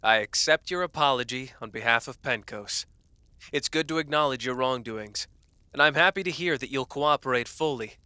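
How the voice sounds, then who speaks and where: A man playing a calm, fair-minded royal ruler in an audio drama, gracious and measured in his formal speech. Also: mid-range voice, clean tone, dry recording. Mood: calm, conciliatory. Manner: measured, diplomatic. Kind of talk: audio roleplay drama acting.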